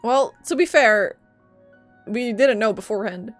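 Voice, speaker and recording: A woman's voice: fairly high pitch, unhurried, faint music behind. A young woman game streamer reacting casually to a story as she plays, in a playful, slangy way. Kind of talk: casual live gameplay reaction.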